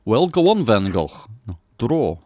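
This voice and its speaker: A man voicing a composed police lieutenant in a visual novel, measured and inquiring. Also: medium pitch, big pitch swings, dark tone, voice pushed up. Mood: calm, inquiring. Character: composed male police lieutenant questioning schoolchildren.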